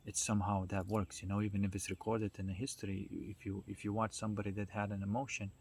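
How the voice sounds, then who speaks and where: Husky low-voiced man, halting and reflective. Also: flat delivery, a little mellow. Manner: halting, searching for words. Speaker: speaker on self-development. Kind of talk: informal explanatory monologue.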